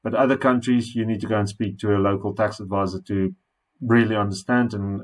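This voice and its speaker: A man with a South African accent talks through tax figures as though sharing his screen with a client. His voice sits at an ordinary mid pitch, and his delivery is practical and unhurried, with small restarts as he reads the numbers. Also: rough recording. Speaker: tax accountant. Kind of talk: screen-share explainer walking through tax calculations.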